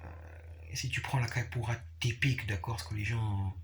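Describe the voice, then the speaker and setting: A man giving a casual, unscripted explanation, repeating himself haltingly and often checking that the listener follows. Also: on the low side, steady tone, a little hiss or hum. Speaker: capoeira practitioner sharing views.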